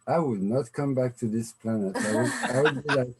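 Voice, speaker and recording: A man's voice: medium pitch, normal speed, a crowd in the background. A young man in casual conversation, thinking aloud with hesitations and fillers. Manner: thoughtful, hesitant, musing. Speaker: co-host.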